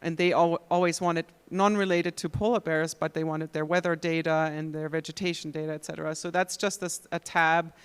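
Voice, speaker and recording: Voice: mid-range voice, level tone, brisk, few pauses, smooth. A panelist explaining a technical setup steadily and methodically at a conference. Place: conference hall. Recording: reverberant conference hall.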